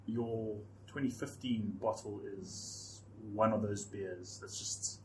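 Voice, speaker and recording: A man's voice: on the low side, steady tone, slow pace, a little mellow, a little hiss or hum, poor audio. A man hosting a casual radio show, chatting with his guests in the studio. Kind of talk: casual chat.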